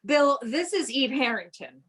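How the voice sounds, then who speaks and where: An actress playing a sociable, polite friend who also narrates in a radio play. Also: female voice, high-pitched, expressive, unhurried, crowd noise. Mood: eager. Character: sociable, polite woman friend recalling events.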